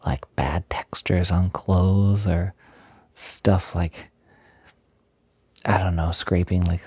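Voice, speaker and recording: A man playing an affectionate, smitten young roommate in an intimate roleplay audio, talking in a soft, breathy, gently hesitant and playful way. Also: sits low, steady tone, takes it slowly, dry recording, full character voice. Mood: relaxed chatty. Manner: gentle, hesitant, giggly, softly doting. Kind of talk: intimate roleplay audio, casual improvised-sounding chat.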